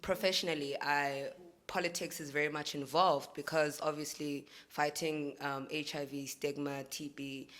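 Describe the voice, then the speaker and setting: A woman's voice: sits low, fairly even intonation, moderate pace, few pauses, a bit bright. A woman on a discussion panel who works as an activist, speaking frankly and confidently with joking asides. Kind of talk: casual panel talk.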